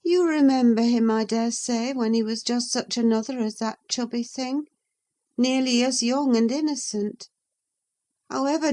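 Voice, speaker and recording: A woman's voice: on the high side, darkish tone, voice pushed up, natural voice. A woman reading an audiobook, voicing a passionate, willful young woman who speaks with emphatic feeling. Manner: impassioned, emphatic.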